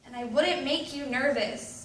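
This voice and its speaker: Woman performing an anguished, high-voiced teenager in a roomy space. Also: measured pace. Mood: sad, confiding. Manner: dramatic, emphatic delivery. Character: anguished, anxious, self-loathing teenager writing confessional letters. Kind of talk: readers theatre monologue. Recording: roomy, distant mic with background noise.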